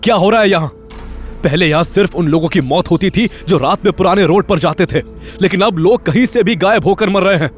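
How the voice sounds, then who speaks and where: A man's voice: high-pitched, a little fast, soft background music, natural voice. A man playing an intense young man in an audio drama, insistent and emphatic in his questioning. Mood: angry, demanding.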